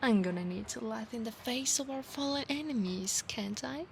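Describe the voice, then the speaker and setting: A woman with an Italian accent voicing an affectionate, possessive centaur knight in a soft ASMR roleplay, often slipping into breathy whispers. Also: on the high side, normal speed, slight background noise. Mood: fond, eager. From Italy.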